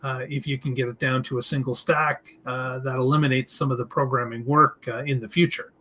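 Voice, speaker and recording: A man co-hosting a discussion show, giving commentary in halting, deliberate phrases. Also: mid-range voice, fairly even intonation, pushed and bright. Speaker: podcast co-host.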